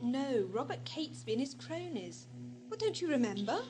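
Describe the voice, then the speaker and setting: A woman plays a young sidekick, eager and teasing, in a 1980s audio drama. Her voice is high and very lively in pitch, over clearly audible noise. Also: normal speed. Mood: puzzled, insistent. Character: bright, eager, teasing young female companion of time traveller.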